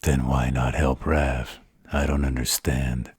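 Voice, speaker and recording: A man's voice: a bass voice, level tone, takes it slowly, a little mellow, slightly rough, dry recording, clean studio sound, exaggerated voice. A man playing a snarky, distrustful supernatural fighter in an audio roleplay, with a mocking drawl. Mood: wry.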